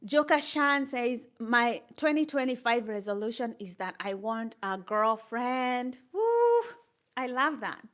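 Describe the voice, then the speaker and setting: An older woman with a Kenyan accent talks live to her viewers in a fairly high voice. She chats casually, reads out their comments and laughs easily, upbeat and encouraging throughout. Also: very animated, slow pace, fairly bright tone. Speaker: livestreamer.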